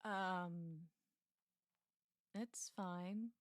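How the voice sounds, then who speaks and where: A woman's voice: on the low side, steady tone, extremely slow, dry recording, studio quality. An actress playing a guarded young woman patient in an audio drama, sardonic and hesitant.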